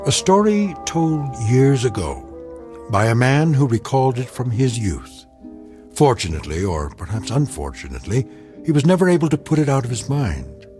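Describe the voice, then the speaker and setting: An actor playing a grave, knowing storyteller, narrating an eerie radio drama in a measured, portentous way. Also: male voice, medium pitch, very animated, mellow, soft background music, lightly acted. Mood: grave, ominous. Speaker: series narrator. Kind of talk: scripted dramatic anthology narration.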